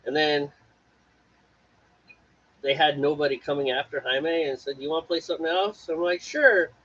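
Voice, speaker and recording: A man co-hosting a homebrewing stream, telling stories in a playful, animated way and mimicking other people. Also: fairly high pitch, normal speed, slightly rough. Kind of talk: casual chat. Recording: lo-fi, dull livestream audio.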